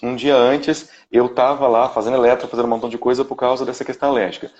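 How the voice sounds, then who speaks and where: Brazilian man in his 30s, vocal coach guest, mid-pitched, chatty and rambling, dull lo-fi call audio. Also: level tone, fast talker, darkish tone. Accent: Brazilian Portuguese. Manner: rambling, frequent "né?" tags and restarts. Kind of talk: casual live-stream interview. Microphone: video call. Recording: dull lo-fi live-stream audio.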